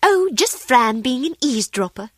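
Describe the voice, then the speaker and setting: An actress playing a mannered, gossipy but dutiful office secretary in a video game. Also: female voice, sits high, very animated, moderate pace, no room sound, studio quality. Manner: chatty, mannered.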